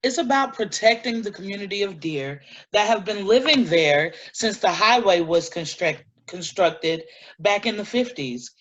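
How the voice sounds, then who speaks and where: A woman's voice: mid-range voice, animated, normal speed, natural voice. Dull-sounding, defiant activist woman played by an actress. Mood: firm, defensive. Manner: blunt, combative. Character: defiant, principled woman animal-rights activist. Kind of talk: staged play reading.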